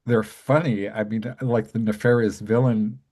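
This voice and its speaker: A middle-aged man hosting an interview show, talking in a rambling, halting way with many restarts and fillers. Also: fairly low pitch, steady tone, darkish tone. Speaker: podcast host and music journalist. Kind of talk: casual interview.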